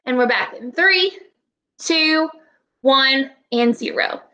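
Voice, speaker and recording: High, bright-voiced young woman teaching children. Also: muffled recording. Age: 20s. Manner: deliberate, instructive, addressing children directly. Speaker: elementary school teacher. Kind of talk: read-aloud lesson for young children. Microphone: laptop webcam at arm's length.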